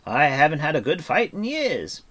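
A man voicing an excitable, boastful and valiant bard in a storybook, talkative and given to grand exclamations. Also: fairly high pitch, normal speed, gravelly, voice pushed up, exaggerated voice. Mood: excited, battle-eager. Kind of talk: audiobook character voice.

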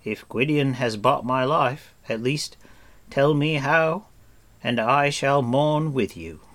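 A man's voice: mid-range voice, slow, fairly bright tone, pitched above the performer's own voice. Man playing a florid, protesting wandering bard. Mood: solemn. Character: frightened, flowery, bowing male wandering bard.

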